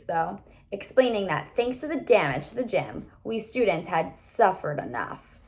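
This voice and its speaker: A woman with a fairly high voice and a strong creak reads a children's novel aloud. She is expressive, as a teacher reading to a class would be, and she acts out the characters' lines.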